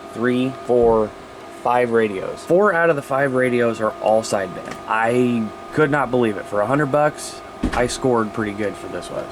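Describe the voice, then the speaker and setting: A man's voice: mid-range voice, background noise. An American man hosting an informal, unscripted hobby show-and-tell, talking colloquially and thinking aloud as he goes. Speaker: hobbyist video host showing radios.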